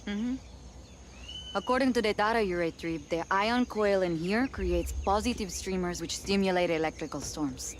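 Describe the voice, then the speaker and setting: A woman's voice: medium pitch, moderate pace, a little hiss or hum, lightly acted. A woman acting a confident, businesslike young rebel in a video game, speaking in a matter-of-fact, explanatory way.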